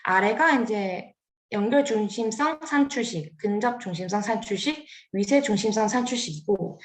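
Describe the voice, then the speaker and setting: Young woman, mid-pitched, giving an academic talk, hesitant and self-correcting, echoey room. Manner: careful, hesitant explaining with fillers and self-corrections. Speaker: graduate student presenter. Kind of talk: academic seminar presentation.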